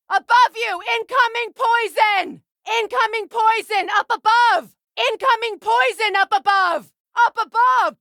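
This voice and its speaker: A fierce warrior goddess fighting as an ally in a video game. Her voice is pitched extremely high and rings out forcefully, with a dry, close studio sound. She delivers urgent, commanding callouts in short clipped bursts. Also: no echo, exaggerated voice. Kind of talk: video game combat callouts, shouted battle warnings.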